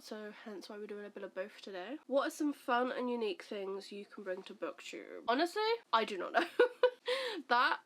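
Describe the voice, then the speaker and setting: Brief: woman, 20s, higher voice, nasal and congested, chaotic and self-deprecating vlog chatter. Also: a bit bright. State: recovering from flu. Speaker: vlogger. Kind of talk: chatty get-ready-with-me vlog. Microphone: camera facing her while doing makeup at a mirror.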